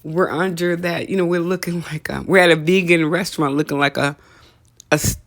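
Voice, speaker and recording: A woman's voice: on the low side, steady tone, brisk, gravelly, dry recording, clean studio sound. A middle-aged woman hosting a casual comedic talk, conversational and teasing, repeating phrases for emphasis. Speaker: podcast host.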